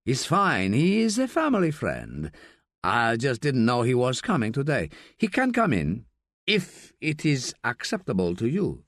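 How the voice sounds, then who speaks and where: A man voicing a nervous, defensive grown son who speaks in a tense, raised pitch. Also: wildly swooping pitch, a little slow, a bit bright, no echo, clean studio sound, exaggerated voice. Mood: placating.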